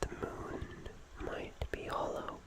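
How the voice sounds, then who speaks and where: An ASMR host whispering in a teasing, whimsical way, speaking directly to the listener as part of a playful roleplay. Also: slow pace.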